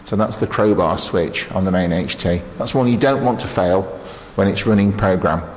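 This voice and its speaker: A man's voice: sits low, moderate pace. A man giving a casual technical tour as an engineer, explaining equipment as he operates it in a plain-spoken, matter-of-fact way with dry asides. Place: indoors in a transmitter hall. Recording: clear machinery and air-cooling noise.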